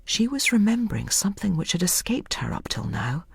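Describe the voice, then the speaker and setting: Husky woman narrating in hushed tones. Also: medium pitch, very animated, a little fast, dry recording, studio quality. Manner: hushed, often near-whispered telling. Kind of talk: scripted audiobook narration.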